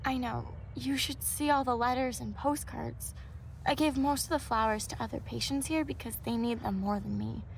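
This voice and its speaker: A woman acting a gentle, devout young student in a video game, fragile but hopeful, earnest and sincere. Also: fairly high pitch, steady tone, normal speed, creaky, slight background noise, rough recording. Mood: grateful, hopeful. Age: young adult. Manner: soft-hearted, earnest, sincere.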